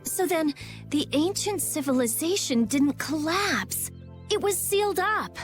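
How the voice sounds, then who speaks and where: Young heroine: high, animated, spirited, expressive fantasy game delivery. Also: female voice, a little slow, soft background music. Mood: curious. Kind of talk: anime-style game voice acting.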